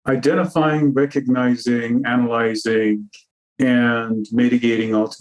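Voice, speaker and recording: A man's voice: medium pitch, rough recording. Iran-born man explaining as interview guest. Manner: explanatory, measured, lists terms. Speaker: cybersecurity consultant guest. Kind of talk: interview answers over video call. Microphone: video call.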